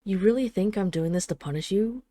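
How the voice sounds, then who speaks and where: A woman's voice: medium pitch, fairly quick, warm tone, dry recording, studio quality, close to an everyday voice. A woman playing a haughty, teasing dragon queen in an intimate audio roleplay, with an imperious, sarcastic, mock-sweet manner. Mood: smug, possessive. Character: haughty, teasing dragon queen, possessive of her human pet husband. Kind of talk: intimate scripted audio roleplay addressed to the listener.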